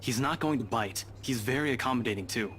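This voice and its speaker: A man's voice: medium pitch, fairly quick, fairly bright tone, a little hiss or hum, lightly acted. An actor playing a teasing young male detective in a visual novel game, brusque but familiar. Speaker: video game character. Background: music.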